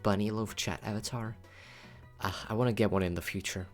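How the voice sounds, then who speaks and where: A man's voice: on the low side, fairly even intonation, brisk, soft background music. A man chatting casually on a livestream in a playful, cutesy, rambling way, often repeating himself. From Philippines. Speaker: VTuber livestreamer.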